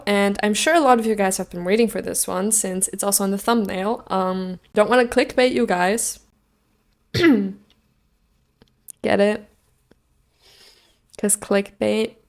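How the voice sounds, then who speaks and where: A young woman from Austria giving casual, opinionated commentary as a video host, emphatic and jokey, swearing freely. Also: mid-range voice. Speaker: video host giving song contest predictions.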